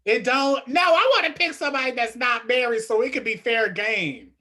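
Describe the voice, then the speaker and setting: A young woman chatting casually as host, sassy and animated, laughing often. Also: fairly high pitch, moderate pace. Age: young adult. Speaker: podcast host. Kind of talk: casual chat.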